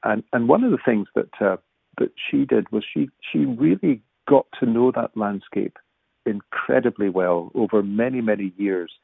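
A man's voice: fairly low pitch, moderate pace, gravelly, slightly rough, dry recording. A man from Scotland giving a thoughtful interview about walking and pilgrimage, with frequent restarts. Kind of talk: reflective conversational interview. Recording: dry, tinny phone-like line.

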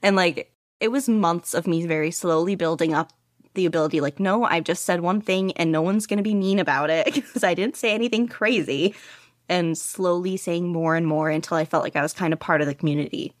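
Low-voiced woman, candid and rambling. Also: no echo, studio quality. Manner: rambling, candid, self-deprecating, quick laughs. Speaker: podcast guest, podfic reader. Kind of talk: casual interview chat.

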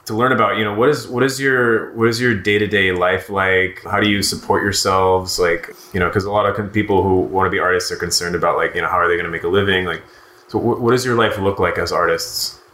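A man's voice: low-pitched, steady tone, fast talker, pushed and bright. A man hosting an interview in casual, informal chat, restarting his questions as he goes. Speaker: interviewer, host. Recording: echoey, roomy sound.

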